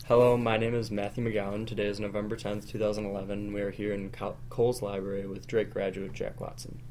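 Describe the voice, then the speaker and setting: A young man from Wisconsin opens an interview in a low, monotone voice. He reads out his introduction and first question plainly. A faint hum of room ambience sits behind him. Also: fast. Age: young adult. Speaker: student interviewer.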